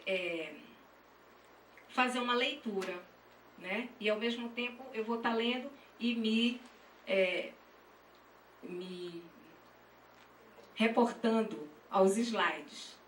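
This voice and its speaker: A woman with a northeastern Brazilian accent giving an academic conference presentation, halting and often checking in with tag questions. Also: on the high side, fairly bright tone. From Pernambuco, Brazil. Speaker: graduate dance student and teacher presenting research. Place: echoey session room at a university dance school. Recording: roomy, distant mic, faint noise.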